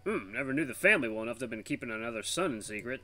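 A man's voice: medium pitch, expressive, rapid-fire, pushed and bright, faint music behind. A man voicing a hearty, folksy tiger in his fifties with a British accent, in a visual novel read-aloud. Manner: folksy, hearty, calls people lad and mate. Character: cheerful chatty tiger man in his fifties, war refugee.

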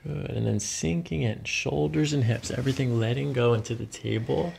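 A middle-aged man working as a chiropractor, calmly guiding a patient in short, reassuring phrases in an ASMR style. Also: medium pitch, moderate pace, creaky, a little hiss or hum. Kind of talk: calm hands-on ASMR treatment talk, instructing a patient. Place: small carpeted treatment office, indoors. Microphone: microphones on boom stands near the table.

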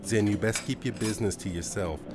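A man playing a gruff, no-nonsense bartender in a radio drama, with folksy, terse speech. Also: lowish voice, brisk, music in the background, lightly acted. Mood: guarded.

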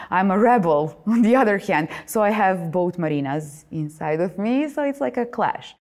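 A woman's voice: fairly low pitch, a little mellow. A woman in her thirties with a Serbian accent, a visual artist talking animatedly in an interview, with many fillers and restarts. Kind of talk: interview monologue. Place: boxing gym, indoors.

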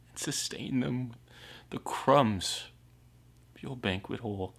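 A man acts out a ragged, mad prophet in a dramatic monologue. The voice sits fairly low and moves very slowly, brooding and anguished. It shifts between hushed, breathy whispering and ringing, theatrical cries. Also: full character voice. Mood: hushed, pleading.

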